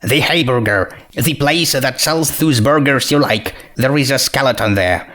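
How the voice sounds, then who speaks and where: A man's voice: mid-range voice, moderate pace, fairly bright tone, close to an everyday voice. A man voicing a brash, agitated young female pegasus pony with a scratchy voice and a blunt, insistent manner, in a storybook reading. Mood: uneasy.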